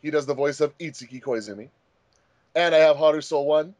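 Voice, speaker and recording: A man's voice: fairly high pitch, fairly quick, darkish tone, no room sound. A man hosting a casual commentary track as a fan dub director, chatty and jokey.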